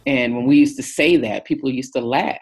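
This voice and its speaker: A woman in her fifties, answering an interview question in a thoughtful, unhurried way with many fillers. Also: very deep voice, darkish tone, slightly rough. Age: 50s. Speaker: interview guest, family member.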